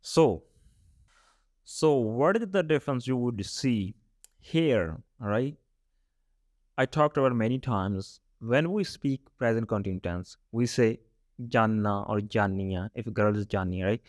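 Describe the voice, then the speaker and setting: A man's voice: mid-range voice, animated, a little slow, dry recording, studio quality. A young man from Punjab with a Punjabi accent, hosting a casual spoken lesson podcast and often checking in with "right?" between his points. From Punjab, India. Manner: chatty, often adds "right?" and "all right?". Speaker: podcast host teaching Punjabi.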